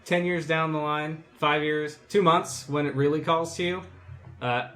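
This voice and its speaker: A man's voice: a little high, steady tone, measured pace, fairly bright tone. A man co-hosting a podcast, talking casually and informally with friends. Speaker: podcast co-host. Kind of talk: casual chat.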